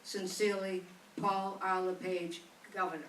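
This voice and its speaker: A woman's voice: medium pitch, little pitch movement, moderate pace. Woman reading a formal letter in a hall. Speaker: speaker reading a letter aloud. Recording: echoey room from a distance with faint noise.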